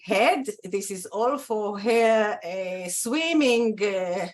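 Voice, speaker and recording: Israeli woman, PR professor, slow, hesitant, video call. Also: mid-range voice, lively intonation. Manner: slow and hesitant, with many fillers. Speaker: public relations professor. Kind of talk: self-introduction over video call.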